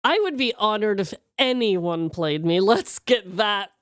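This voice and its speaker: A woman co-hosting a podcast in an expressive, informal chat with listeners and her co-host. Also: medium pitch, measured pace, dry recording, studio quality. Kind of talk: casual chat, reading listener questions.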